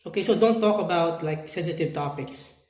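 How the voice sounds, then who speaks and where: Filipino-accented man, halting talk, echoey room. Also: fairly high pitch, darkish tone. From Philippines. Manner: halting, repeats words, many fillers. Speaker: YouTuber. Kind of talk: unscripted talk to camera.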